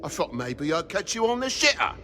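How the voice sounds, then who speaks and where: Irascible, animated British toad dad. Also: male voice, a little high, fairly quick, slight background noise. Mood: indignant. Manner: indignant, blustering. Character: irascible, foul-mouthed toad father, protective of his son. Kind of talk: video game voice acting.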